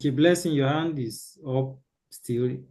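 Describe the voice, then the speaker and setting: A man's voice: mid-range voice, measured pace, a little mellow. A man moderating an online class and calling on participants over a video call. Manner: brief, calls on participants by name. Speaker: webinar moderator.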